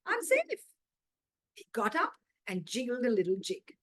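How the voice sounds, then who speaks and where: A woman's voice: medium pitch, animated, normal speed. A woman with an Indian accent reading a children's story aloud expressively, as its author.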